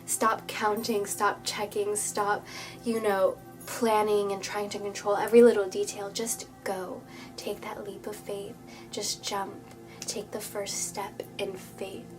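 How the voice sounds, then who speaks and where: A woman reads tarot for her viewers in a mid-pitched, slightly nasal, stuffed-up voice. She speaks earnestly and soothingly, sliding often into a soft whisper. Music plays clearly behind her. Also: flat delivery, takes it slowly. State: congested, a bit nasal. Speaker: tarot reader. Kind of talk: spoken tarot reading to viewers. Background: background music.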